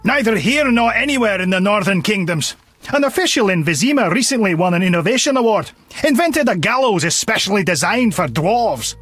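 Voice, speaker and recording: A bitter dwarf townsman from a fantasy game, husky and pitched rather high. He grumbles sarcastically with dark humour, and his pitch swings up and down in a lively way. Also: brisk, no room sound, soft background music, exaggerated voice. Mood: bitterly sarcastic. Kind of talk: video game dialogue acting.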